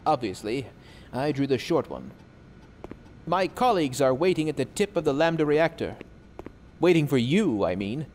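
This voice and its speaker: A man playing a nervous, apologetic scientist in a video game, talking formally and wordily with halting asides. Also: a little high, sing-song, a little mellow. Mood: wry, awkward. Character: nervous, apologetic, talkative male scientist in a secret lab. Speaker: game character. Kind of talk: video game dialogue acting. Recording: long hall reverb, faint background noise.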